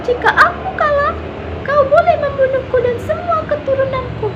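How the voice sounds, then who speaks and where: A woman's voice: moderate pace, muffled recording, noisy background. A woman voicing a small, clever ant, bold and challenging, in a storybook reading. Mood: defiant. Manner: shrill challenging taunt.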